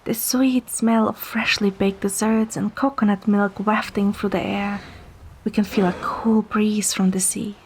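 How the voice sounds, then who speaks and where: A woman playing a playful, caring young woman who narrates a romantic story in the first person, in a gentle, soft manner. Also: mid-range voice, level tone, unhurried, husky. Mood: gentle, soothing. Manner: gentle, soft, sometimes whispering.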